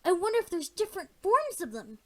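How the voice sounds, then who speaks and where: A woman's voice: very high-pitched, brisk, pitched above the performer's own voice, heavily acted. A woman voicing an eager, curious young male dragon in a storybook tale. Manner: excited, inquisitive.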